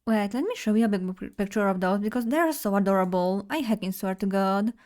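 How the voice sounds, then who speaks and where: A woman's voice: mid-range voice, fast talker, darkish tone. A young woman from Poland, chatting bubbly and directly to her live chat as a streamer at a desk mic. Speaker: vtuber streamer.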